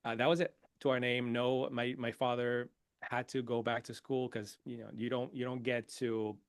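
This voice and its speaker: A man from Venezuela whose first language is Spanish, a podcast guest telling his life story in a lively, proud way. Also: medium pitch, normal speed, clear, resonant tone, dry recording. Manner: energetic, proud, laughs often. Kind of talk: casual interview storytelling.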